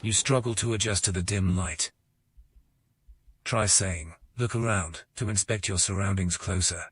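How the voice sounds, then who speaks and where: An actor narrating a fantasy audio game as a calm, measured male storyteller. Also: low voice, slightly rough, dry recording, exaggerated voice. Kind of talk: scripted narration.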